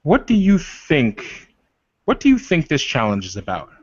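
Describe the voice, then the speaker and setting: A man's voice: a little high, wildly swooping pitch, a little slow, slightly rough, no echo, dull audio, pitched above the performer's own voice, full character voice. A man playing a proud, wounded werewolf war leader in a tabletop roleplay, speaking formally and defensively. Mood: stern.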